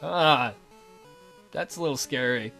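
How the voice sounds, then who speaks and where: A woman's voice: rumbling low, slow pace, fairly bright tone, faint music behind. A woman hosting a let's play, reading a visual novel aloud with playful, blunt, sweary casual commentary.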